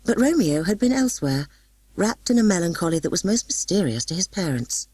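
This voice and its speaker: Female audiobook narrator, deep-voiced and husky, expressive and theatrical with classic tales, very dry close sound. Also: brisk, dry recording. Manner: dramatic, expressive storytelling. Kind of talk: scripted storybook narration.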